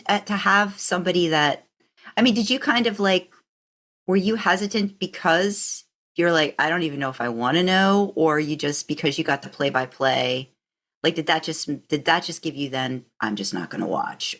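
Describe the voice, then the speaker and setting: A woman hosting a podcast, asking casual conversational questions in an encouraging, animated way. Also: low voice, normal speed. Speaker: podcast host, interviewer.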